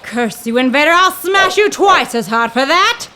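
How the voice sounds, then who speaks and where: A high-voiced, brash 1930s dame. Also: female voice, expressive, moderate pace, raised voice, heavy background noise. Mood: combative, indignant. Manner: brash, theatrical, wisecracking period slang. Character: feisty, suspicious young 1930s woman, brash and combative. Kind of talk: 1930s-style radio comedy drama acting.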